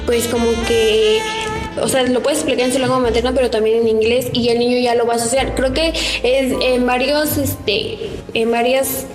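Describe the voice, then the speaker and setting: A woman's voice: a little high, fairly even intonation, normal speed. A woman in a casual group podcast, explaining her points with many fillers. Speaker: podcast panelist. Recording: echoey room with music behind.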